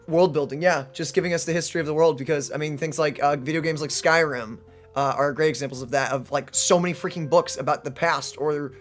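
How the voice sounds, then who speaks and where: A man's voice: on the high side, fairly even intonation, quick pace, faint music behind. Casual man doing gaming commentary. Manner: informal, thinks aloud, playful. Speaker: let's play host.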